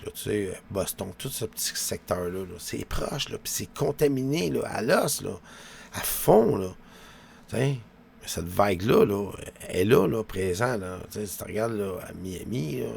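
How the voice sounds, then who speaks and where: Casual male vlogger, Quebec French accent, deep and fry-heavy, loose colloquial rambling. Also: a little mellow, a little hiss or hum. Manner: colloquial, with frequent verbal tags. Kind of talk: rambling casual monologue to viewers.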